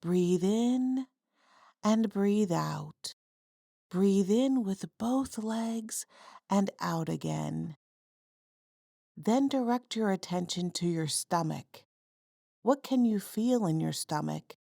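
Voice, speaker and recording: A woman guiding a body scan meditation in a calm, measured, instructional way. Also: medium pitch, vocal fry, no room sound, studio quality. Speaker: mindfulness guide.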